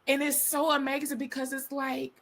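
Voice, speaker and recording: High-pitched woman, 30s, podcast co-host, expressive storyteller in casual chat. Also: normal speed. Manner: storytelling, expressive, quotes people in voices.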